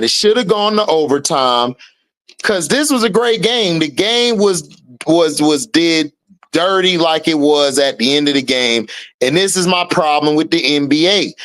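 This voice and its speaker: A man, hosting a casual sports stream, blunt and heated and swearing freely. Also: fairly high pitch. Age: 30s. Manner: blunt and heated, swearing freely, rising loudly when riled. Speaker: host of an online sports and commentary stream. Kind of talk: casual opinionated commentary, reacting to chat.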